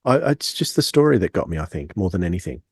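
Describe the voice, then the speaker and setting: A man's voice: deep voice, big pitch swings, fast, vocal fry, slightly rough, dry recording, clean studio sound. A man hosting a podcast, talking casually as he reviews. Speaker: podcast host.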